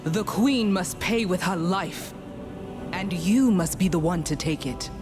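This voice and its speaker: A woman playing a stern, proud rebel leader in a fantasy game, deliberate and authoritative. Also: on the low side, natural voice. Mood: resolute. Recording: loud music behind.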